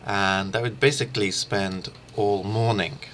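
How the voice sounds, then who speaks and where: Low-pitched man teaching, bright voice. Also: fairly even intonation, slight background noise. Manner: halting, thinking aloud. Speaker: language teacher. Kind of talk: informal instructional screencast. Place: small room lined with shelves, indoors.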